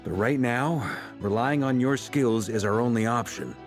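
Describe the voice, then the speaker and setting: An actor playing a confident, courteous strategist in a game, articulate and polite. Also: male voice, mid-range voice, moderate pace, natural voice. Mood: earnest, courteous. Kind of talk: game voice acting. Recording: game music under the voice.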